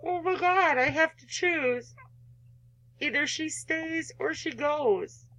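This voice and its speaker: A woman's voice: high voice, takes it slowly, a bit bright, poor audio. A woman hosting a gaming video, reading a visual novel aloud and reacting with emotional investment. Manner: emotionally invested, protests to the game. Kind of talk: read-aloud narration with personal reactions.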